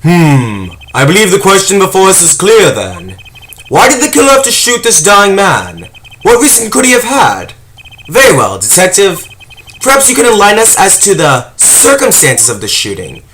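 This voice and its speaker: A man playing a courteous, mildly puzzled elderly judge in a video game fan dub, speaking formally and deliberately. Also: high-pitched, huge pitch swings, a bit bright, a little hiss or hum, heavily acted. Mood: pondering.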